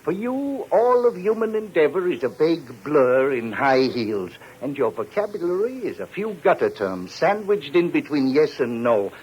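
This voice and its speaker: An actor playing an aging, boozy barfly philosopher in a 1940s radio drama, speechifying in a florid, pompous, mock-tragic way. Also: male voice, fairly high pitch, sing-song, moderate pace, hardly any pauses, darkish tone. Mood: lofty scolding. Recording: muffled, noisy 1940s radio recording.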